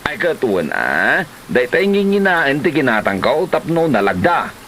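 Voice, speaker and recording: A man's voice: medium pitch, big pitch swings, measured pace, very noisy. A man with an Ilocano Filipino accent playing a stubborn, stingy, defensive husband who bickers in a comedy drama. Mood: irritated, stubborn. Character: stubborn, stingy husband obsessed with his motorcycle.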